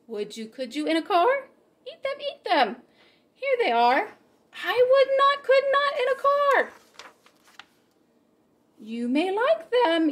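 High-voiced woman reading slowly to children. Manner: slow, exaggerated, playful expressiveness for young children. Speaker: preschool teacher reading to children. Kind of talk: children's storybook read-aloud with chat. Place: home kitchen, indoors.

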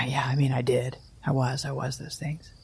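Creaky-voiced, anxious woman survivor. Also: a bass voice, little pitch movement, full character voice. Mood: wistful, doubting. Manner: halting, rambling, self-correcting, wry. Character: anxious, wry, weary woman survivor keeping a tape diary. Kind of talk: naturalistic audio drama monologue.

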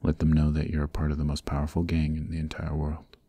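A man acting a very deep, cold mafia heir. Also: monotone, fast, warm tone, no room sound, heavily acted. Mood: stern, commanding. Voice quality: gravelly, very deep. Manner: stern, domineering, clipped and menacing. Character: cold-hearted, commanding young mafia heir, newly married. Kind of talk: ASMR roleplay, scripted acting.

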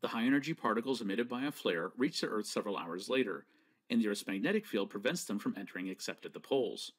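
Male narrator for a technical lesson: measured and precise, with a pitch that rises and falls a lot. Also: animated. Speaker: presenter. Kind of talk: scripted slideshow lecture.

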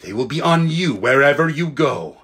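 A man voicing an imperious, menacing witch queen in a storybook, with haughty, drawn-out commands. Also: a little high, lively intonation, a bit bright. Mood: menacing, commanding.

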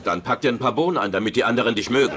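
An actor playing a stressed, sarcastic working-class husband in a dubbed sitcom, with a grumbling manner. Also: male voice, medium pitch, very fast, over a noisy crowd, very noisy, natural voice. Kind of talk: German-dubbed sitcom acting.

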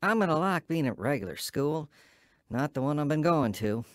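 A man's voice: on the high side, expressive, dry recording, lightly acted. An actor playing a dazed, stoned thirteen-year-old boy in an audio drama. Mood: dazed giddy. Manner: dazed, slow.

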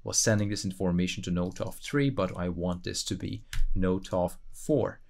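A man hosting a casual software tutorial, thinking aloud in a conversational way with playful asides. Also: deep voice, moderate pace, creaky. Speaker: tutorial host.

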